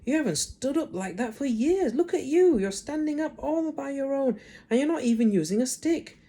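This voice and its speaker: A reader voicing an excitable young boy who calls out eagerly and anxiously. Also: mid-range voice, moderate pace, pitched above the performer's own voice. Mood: excited. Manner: eager, alarmed calling out.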